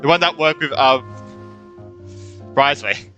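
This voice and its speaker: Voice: medium pitch, measured pace, fairly bright tone, highs cut off, soft background music. A game streamer giving casual live reactions, slangy and thinking aloud in stop-start bursts.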